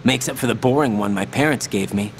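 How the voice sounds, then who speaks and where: A man's voice: medium pitch, fairly quick, close to an everyday voice. Earnest young American operative played by a man, noisy backdrop. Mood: urgent, guarded. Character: earnest, determined young male special operative. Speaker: video game protagonist. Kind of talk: video game cutscene acting. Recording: clear vehicle and ambience noise behind.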